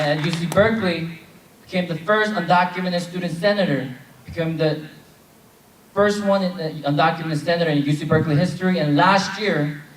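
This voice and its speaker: A man's voice: on the high side, fairly bright tone. Young man speaking in an echoey convention hall. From South Korea. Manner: leads call-and-response chants. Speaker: plenary moderator. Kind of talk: convention speech. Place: large convention hall with audience. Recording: echoey hall, distant mic, crowd noise.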